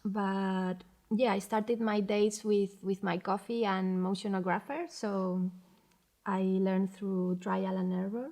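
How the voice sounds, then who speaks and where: Interviewee: Spanish-accented woman, unhurried and hesitant, relaxed mellow tone, reflective delivery. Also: medium pitch. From Spain. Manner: hesitant, searching for words. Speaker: interviewee, animation director and animator.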